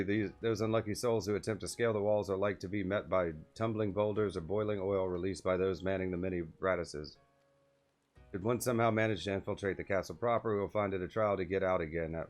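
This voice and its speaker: A man acts out a fantasy game's castle guard with a very low voice. The guard is formal and wordy, courteous and proud to explain, over music. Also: natural voice.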